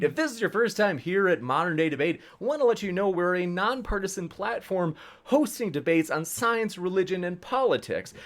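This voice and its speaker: A man hosting a livestreamed debate, giving an upbeat, chatty introduction straight to the audience with clear enthusiasm. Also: sits high. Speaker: debate host and moderator.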